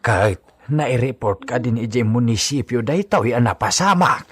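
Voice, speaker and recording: An actor playing an agitated village man with a husky voice and an Ilocano accent in a comedy radio drama. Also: mid-range voice, lively intonation, moderate pace, close to an everyday voice. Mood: concerned. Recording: music under the voice.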